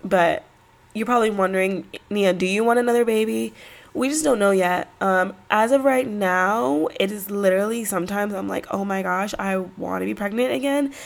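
A woman in her early thirties hosts her own podcast, her nose stuffed up from a cold. Her voice has a gravelly, creaky edge, and she rambles in a loose, slangy way. Her pitch sits in a middle range for a woman. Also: normal speed. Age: 30s. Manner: chatty, rambling, slangy, laughs between thoughts. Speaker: podcast host. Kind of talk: casual solo podcast chat.

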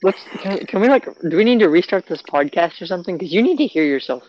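A man's voice: sits high, lively intonation, fast talker, a little hiss or hum. A young man casually teasing his friends as a podcast co-host over an online call. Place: remote voice call. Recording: dull lo-fi online call audio.

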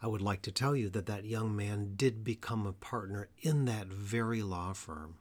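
Low-voiced man hosting a stuttering podcast. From Atlanta area, US. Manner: earnest, measured delivery with slow emphatic phrases. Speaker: podcast host, stuttering therapist. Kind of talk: solo educational podcast talk.

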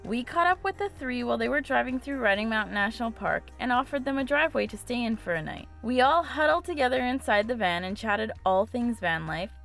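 Higher-pitched woman narrating a podcast intro. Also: expressive, brisk, gravelly, hardly any pauses, a bit bright, soft background music. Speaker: podcast host.

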